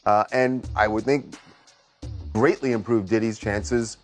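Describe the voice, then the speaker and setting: A man with a fairly low voice comments in an unscripted news discussion. Faint music plays beneath his talk. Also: steady tone, unhurried.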